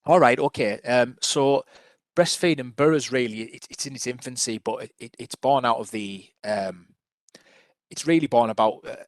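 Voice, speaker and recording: A man's voice: medium pitch, moderate pace, no room sound, studio quality. A man presenting public health work to a council panel over a video call, hesitant, with many restarts.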